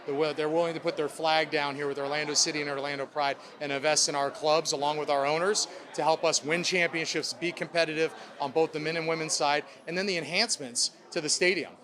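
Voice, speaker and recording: A man's voice: a little high, steady tone, fast, hardly any pauses, a crowd in the background. A man speaking as a club president, answering reporters' questions in upbeat corporate language. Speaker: sports club president at a press conference.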